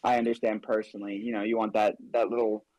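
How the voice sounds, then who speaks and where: A man's voice: on the low side, level tone, quick pace, a little mellow, no echo, rough recording. A man hosting a casual interview, friendly and rambling with many fillers. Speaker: interview host.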